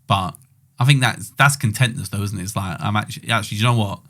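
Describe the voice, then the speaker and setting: A man talks through his thoughts at an easy pace, trailing off and circling back. His voice sits a little low, and he chats casually as a podcast co-host. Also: fast talker. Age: 20s. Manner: relaxed, rambling, reflective. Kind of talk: casual chat.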